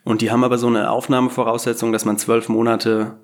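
A young man talks at an ordinary middle pitch as a podcast guest. He rambles through his story and keeps playing down his own skill. The tone is relaxed and chatty. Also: fast talker. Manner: rambling, self-deprecating storyteller. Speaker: guest game designer. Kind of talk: casual podcast interview. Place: Berlin.

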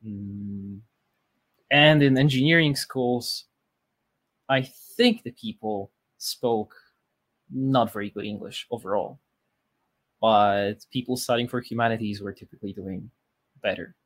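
A man's voice: lowish voice, unhurried, long pauses, fairly bright tone, no room sound. A thirty-year-old man from Poland whose first language is Polish, chatting casually as a podcast guest, rambling with fillers and restarts. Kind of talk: casual interview chat.

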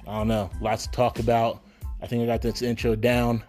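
Hoarse man hosting a casual podcast. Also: mid-range voice, fairly quick, faint music behind. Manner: relaxed, rambling, with frequent ums and coughs. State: hoarse from a cold. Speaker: podcast host. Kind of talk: casual solo podcast chat.

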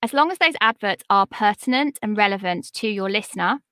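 Upbeat woman with a bright, high voice. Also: animated, a little fast, no echo, clean studio sound. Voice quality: high-pitched. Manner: confident, upbeat, motivational. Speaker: podcast and voice coach guest. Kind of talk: energetic interview talk.

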